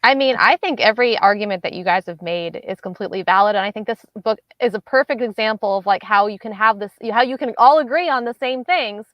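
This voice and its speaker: A woman's voice: mid-range voice, fast talker, few pauses, no room sound, muffled recording. A woman co-hosting an online book discussion in a casual, conversational style. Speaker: co-host of a book discussion livestream. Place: online livestream call.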